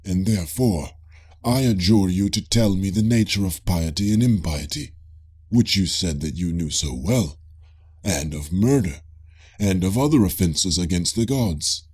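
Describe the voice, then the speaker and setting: A man's voice: deep voice, lively intonation, measured pace, a little mellow, slightly rough, exaggerated voice. A man voicing an inquisitive, mock-humble ancient Greek philosopher, formal and earnest, in an audiobook dialogue. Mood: earnest, questioning.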